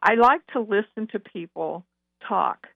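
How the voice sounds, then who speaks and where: Female novelist guest, gravelly edge, chatty storyteller on a thin phone-like connection. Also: mid-range voice, a little slow, no room sound. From Texas. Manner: chatty, anecdotal. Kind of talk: conversational interview answers. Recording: narrow phone-like line.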